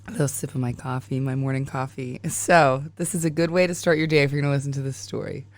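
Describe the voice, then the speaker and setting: A woman in her thirties hosting a podcast, hoarse and raspy while getting over a sinus infection, chatting casually and laughing often. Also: a bass voice, fairly even intonation, fast, no room sound. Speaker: podcast host. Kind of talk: casual chat.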